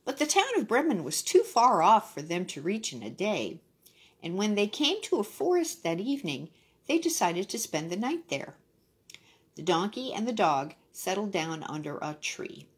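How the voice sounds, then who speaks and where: A woman tells a children's story with very animated, swinging intonation. Her voice has a husky edge and she drops in brief casual asides. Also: mid-range voice.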